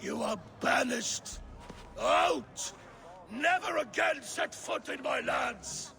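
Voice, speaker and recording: An actor playing a furious Viking king who bellows banishments in a slow, commanding voice. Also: very high-pitched, expressive, vocal fry, exaggerated voice. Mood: wrathful. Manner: shouting proclamations, slow and forceful.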